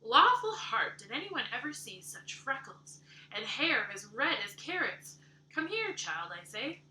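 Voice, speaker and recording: A woman's voice: fairly high pitch, very animated, a little slow, pushed and bright, slight background noise, exaggerated voice. A woman voicing a blunt, outspoken middle-aged neighbor who speaks gloomily and emphatically in a storybook reading. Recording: roomy echo.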